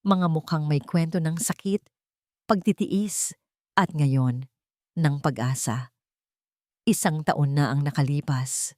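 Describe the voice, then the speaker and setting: A woman's voice: deep voice, slow, long pauses, a little mellow, dry recording, studio quality. A woman narrating a scripted audio drama in a solemn, sentimental storytelling manner.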